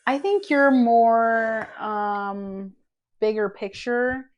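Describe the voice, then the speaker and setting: Young woman cohost, dramatic storyteller vibe, laughs a lot, kinda high pitched. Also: drawn-out words, dull audio. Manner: expressive, theatrical, laughs often. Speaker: podcast co-host. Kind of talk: casual chat between friends.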